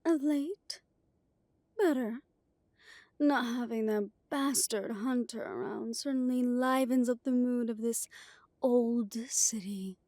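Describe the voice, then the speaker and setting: A woman playing a poised, courtly vampire councillor in an audio roleplay, with a measured, silky delivery. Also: on the high side, mellow, no echo. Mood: gracious. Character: poised, genteel female vampire of a ruling council. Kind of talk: dramatic audio roleplay acting.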